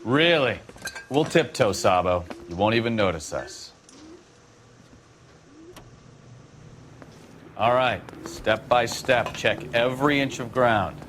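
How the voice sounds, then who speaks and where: A man's voice: mid-range voice, animated, unhurried, fairly bright tone, a little hiss or hum. A man playing a wry, streetwise American detective partner in a video game drama.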